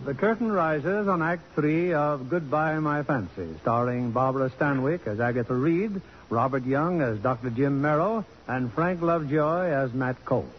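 A man's voice: on the high side, moderate pace. An American man announcing a scripted 1950s radio drama in a formal, theatrical manner. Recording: dull old radio broadcast recording. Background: music.

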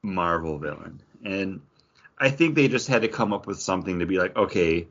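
A man's voice: low voice, animated, normal speed, a bit bright, dull audio. A man co-hosting a podcast, discussing films in a casual, conversational and somewhat hedging way. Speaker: podcast co-host.

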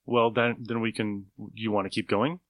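A man's voice: fairly low pitch, level tone, moderate pace, a bit bright, dry recording. A man in casual chat, laid-back and agreeable.

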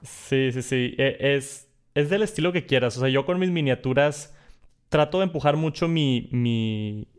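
Northern mexican guy hosting a podcast, smooth clear voice, laid back, repeats himself a lot. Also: mid-range voice, unhurried. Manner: relaxed, repeats words and restarts often. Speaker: podcast host. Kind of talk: casual podcast chat.

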